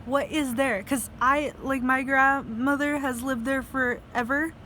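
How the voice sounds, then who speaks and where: Young woman, high voice, slow unhurried delivery, swears a lot, faint traffic hum behind. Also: fairly even intonation, bright, forceful tone. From United States. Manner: rambling, profane, laughs often. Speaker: vlogger. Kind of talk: casual video diary storytelling.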